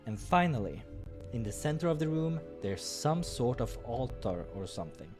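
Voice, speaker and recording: A man's voice: mid-range voice, sing-song, moderate pace. Man narrating a story over music. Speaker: playthrough narrator reading a visual novel aloud. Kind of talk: scripted story narration with offhand asides. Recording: music behind the voice.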